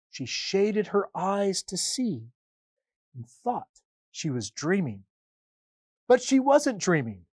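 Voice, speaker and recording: A man reading a children's Bible story aloud as a priest, with dramatic, deliberate phrasing and pauses. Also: sits high, no echo, clean studio sound.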